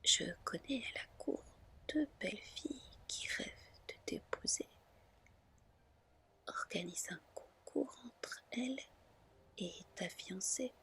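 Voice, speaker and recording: A woman's voice: fairly high pitch, expressive, slow pace, long pauses, a bit bright, heavily acted. A woman voicing a composed, authoritative queen mother in a whispered storybook reading. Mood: encouraging, commanding. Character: commanding queen mother advising her son.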